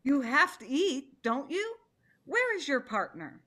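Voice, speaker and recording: A woman's voice: high-pitched, very animated, a little slow, fairly bright tone, exaggerated voice. A woman acting a proud, impatient female tiger in a theatrical play reading, imperious and emphatic. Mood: scornful.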